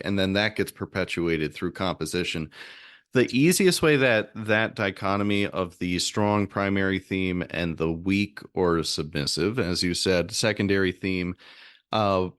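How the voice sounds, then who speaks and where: Deep-voiced American man, rambling interviewer. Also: fairly bright tone, no room sound, clean studio sound. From southern United States. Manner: rambling, hesitant, self-correcting; extroverted. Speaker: podcast host, music theorist. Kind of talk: conversational interviewing.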